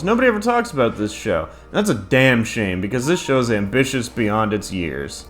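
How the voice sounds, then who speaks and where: A man in his thirties narrating a scripted countdown review in a crude, profane, opinionated way. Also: mid-range voice. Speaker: video host and reviewer.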